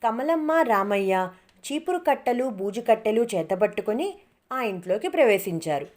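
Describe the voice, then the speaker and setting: A woman's voice: a little high, very animated, normal speed, darkish tone. A woman storyteller reading a scripted moral tale as narrator.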